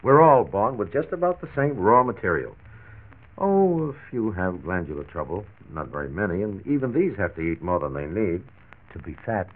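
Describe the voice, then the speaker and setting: An actor playing a frank, reassuring family doctor who explains firmly, in 1940s radio style. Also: male voice, deep voice, big pitch swings, moderate pace. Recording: tinny 1940s radio transcription disc.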